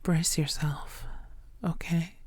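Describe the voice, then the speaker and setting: A woman playing a possessive, menacing female vampire in an intimate ASMR roleplay, teasing and often slipping into breathy half-whispers. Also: low-pitched, fairly even intonation, slow, a little mellow, no echo. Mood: savoring, possessive. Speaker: audio roleplay voice actor.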